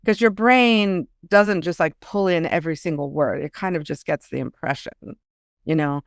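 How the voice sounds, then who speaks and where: A woman's voice: low-pitched, normal speed, no room sound, clean studio sound. A woman speaking as a guest author in an interview, explaining things in an informal, conversational way.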